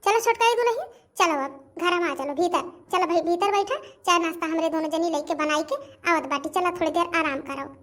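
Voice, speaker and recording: Woman voicing very high-pitched chatty Awadhi village in-law. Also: brisk, fairly bright tone. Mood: bossy, welcoming. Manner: chatty, repetitive rustic village talk. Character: village women of a household, gossiping, admiring and grumbling in-laws. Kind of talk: cartoon family comedy drama voice acting.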